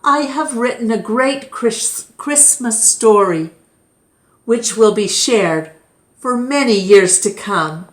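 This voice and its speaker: A woman playing a proud male townsman in a storybook, announcing his gift with loud, theatrical declaiming. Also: a little high, expressive, slow, pitched above the performer's own voice. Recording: echoey room.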